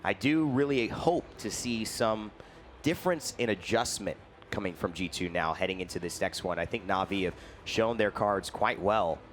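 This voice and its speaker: A man's voice: fairly low pitch, normal speed, a little hiss or hum. A man casting a live esports tournament, mixing playful banter with game analysis. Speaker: esports caster. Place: esports expo hall arena.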